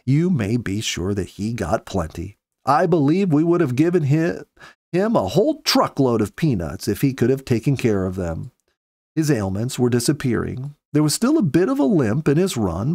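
A man in his fifties reading a story aloud in measured, earnest storybook narration, close to the microphone. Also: medium pitch, darkish tone, slightly rough, dry recording. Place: small indoor home study. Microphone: close studio microphone with pop filter.

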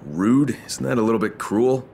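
An actor playing a persistent outsider hero in a video game, casual and direct, pressing with questions. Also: male voice, medium pitch, normal speed, vocal fry, darkish tone, slight background noise, close to an everyday voice. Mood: questioning, insistent. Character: gruff, persistent male city outsider hero. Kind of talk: video game cutscene acting.

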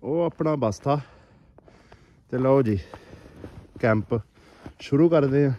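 A man's voice: mid-range voice, takes it slowly, dark tone, lo-fi sound. A man with a Punjabi accent narrating a casual outdoor vlog, chatting to his viewers. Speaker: vlogger. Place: outdoors in a snowy forest. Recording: noisy outdoor phone camera audio, clicks.

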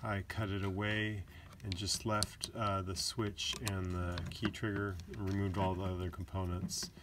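A man giving a casual hands-on demo of a homemade gadget, explaining it in a matter-of-fact, slightly halting way. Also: sits low, level tone. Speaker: maker demonstrating a homemade gadget. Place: small indoor hobby workbench. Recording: loud background noise with clicks.

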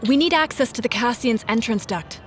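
A woman's voice: a little high, a little fast, a little hiss or hum, natural voice. A woman playing a determined young agent. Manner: urgent, determined. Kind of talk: audio drama acting.

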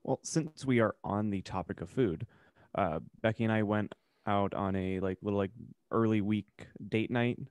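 A man co-hosts a podcast in a casual, bantering way. His voice sits fairly low. The sound is dry and close, as from a mic on a video call. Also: normal speed, a little mellow, smooth, no room sound. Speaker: podcast co-host. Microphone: close microphone with headphones, video call.

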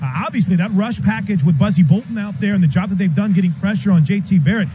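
High-voiced male sideline reporter in stadium noise. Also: fast talker. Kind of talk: live radio sports reporting. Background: loud stadium ambience.

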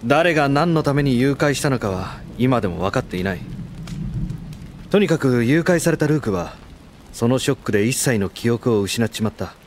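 A man's voice: medium pitch, expressive, moderate pace, a little hiss or hum, lightly acted. Man playing an easygoing, brotherly young man. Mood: grave storytelling. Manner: casual, frank masculine speech. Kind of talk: Japanese anime audio drama acting.

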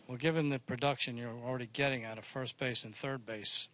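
Flat-voiced male reporter asking a question. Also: mid-range voice, fairly quick, no echo. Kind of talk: press conference question.

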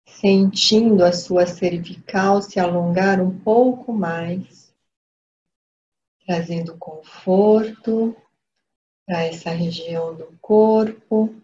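A woman with a Brazilian accent leading a guided meditation as a teacher, with calm, gentle, measured instruction. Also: fairly low pitch. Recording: dull lo-fi audio, background noise.

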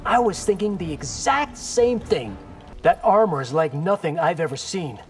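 A cocky young ninja, taunts with lively, varied intonation. The game dialogue is direct and challenging over faint music. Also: male voice, on the high side, moderate pace. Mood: taunting. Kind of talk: video game fight intro dialogue. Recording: music behind.

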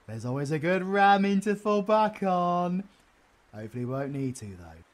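An actor playing a cheeky, foul-mouthed British supervillain rogue in an audio roleplay, slangy and excitable in banter. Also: mid-range voice, normal speed, lightly acted. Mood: playful, bawdy.

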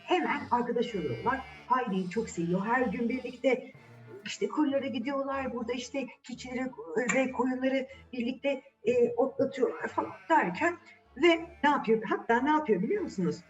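A woman's voice: high voice, moderate pace, far from the mic, highs cut off, soft background music. A woman storyteller and host telling a children's fairy tale in a chatty way, putting questions to her young listeners.

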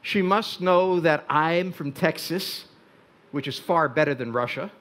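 An older Hungarian-born man giving a wry, deliberate talk on a stage in a large tent before an audience. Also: a little high, fairly bright tone, a little hiss or hum. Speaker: speaker.

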